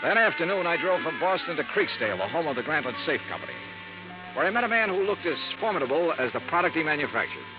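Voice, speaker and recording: An actor playing a brisk, wry male insurance investigator who also narrates, in a 1950s radio drama. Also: on the high side, very animated. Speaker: narrating lead. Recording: dull old radio broadcast with music.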